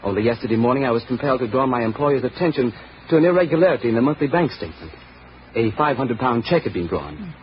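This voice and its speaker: Uneasy private secretary, played by a man: brisk, polite, mid-pitched, noisy old radio recording. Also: animated, lo-fi sound, natural voice. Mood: uneasy, earnest. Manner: formal, guarded, quick. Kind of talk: 1940s radio detective drama acting.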